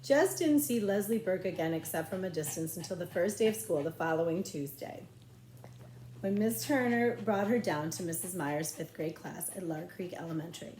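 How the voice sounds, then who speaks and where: Female narrator, mid-pitched, children's book read-aloud, expressive phrasing, librarian storytelling, noticeable room echo. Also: a little hiss or hum.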